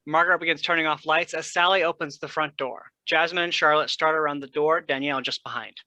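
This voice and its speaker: A stage directions reader with a bright, forceful voice at a medium pitch, recorded dry. The delivery is scripted narration for a play reading. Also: fairly even intonation, fast talker, dry recording, dull audio.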